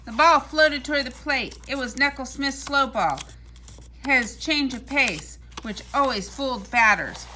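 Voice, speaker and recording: A woman with a fairly high, very bright voice reads a children's story aloud. Her delivery is deliberate and expressive, shaped for storytelling. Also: slight background noise, poor audio.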